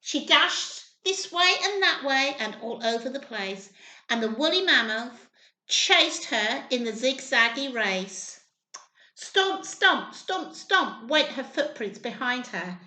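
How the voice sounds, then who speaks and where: A woman reading a story aloud to small children in an expressive, encouraging way, often asking questions. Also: high-pitched, takes it slowly. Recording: roomy echo, faint background noise.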